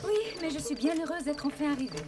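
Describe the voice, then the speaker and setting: A woman's voice: high voice, lively intonation, quick pace, distant mic, heavy background noise. A woman playing an elegant, strict but caring cartoon mother, speaking in a proper, articulate, chiding manner. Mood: composed, polite. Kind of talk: French animated series dialogue.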